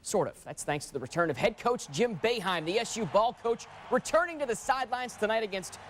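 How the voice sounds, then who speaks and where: A man's voice: high voice, expressive, fairly quick, slight background noise. A man narrating sports stories as a broadcast reporter, with punchy, dramatic phrasing.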